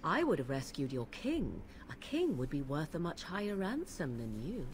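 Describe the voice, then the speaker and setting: Casting: a haughty young fantasy-game witch, sardonic and archaic in phrasing, low expressive voice, noisy ambience behind. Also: normal speed. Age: young adult. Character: aloof, sardonic young woman witch of the wilds. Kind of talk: fantasy video game acting.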